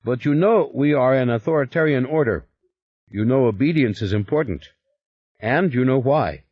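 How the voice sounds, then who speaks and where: A big, smooth male envoy with a Scandinavian accent tinged with Eastern Europe, speaking in a patient, conciliatory way, as a narrator does him. The read sounds dry and a little dull. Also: mid-range voice, expressive, normal speed, no echo, voice pushed up, close to an everyday voice. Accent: Scandinavian with Eastern European touch.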